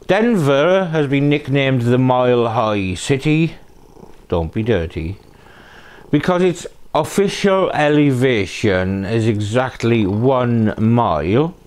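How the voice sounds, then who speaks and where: A man's voice: lowish voice, darkish tone. A middle-aged man hosting a true crime show, narrating from a script phrase by phrase, with playful asides. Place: living room sofa, indoors.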